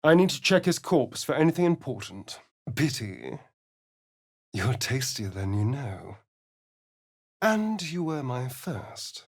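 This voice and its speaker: A man's voice: medium pitch, sing-song, slow pace, pauses often, full character voice. An actor playing a sardonic, flirtatious male vampire spawn elf in a video game, with a theatrical, teasing drawl. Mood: sly.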